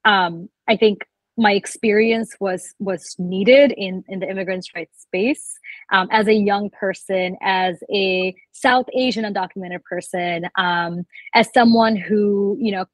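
A woman's voice: mid-range voice, fairly even intonation, measured pace, no room sound, poor audio. A young adult woman from Bangladesh, a guest telling her story in a thoughtful, earnest way. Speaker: guest, immigrant rights advocate. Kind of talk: casual interview.

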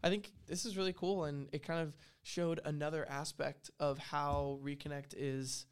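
A young man hosting a relaxed podcast, his voice a little higher than most men's. He laughs easily and chimes in with agreement as the chat moves along. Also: moderate pace, clean tone. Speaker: podcast host. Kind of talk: casual chat.

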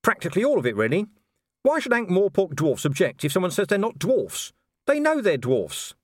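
A man's voice: high-pitched, wildly swooping pitch, fairly quick, no room sound, clean studio sound, pitched above the performer's own voice. A man voicing a blunt, sceptical male commander in an audiobook, sardonic and exasperated in manner. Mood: puzzled, exasperated. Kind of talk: audiobook character dialogue.

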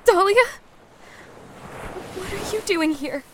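A woman's voice: very high-pitched, takes it slowly, a bit bright, slight background noise, exaggerated voice. A woman playing a lovestruck, distraught young mermaid in an audio drama, pleading and stammering with emotion. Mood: tearful, guilty.

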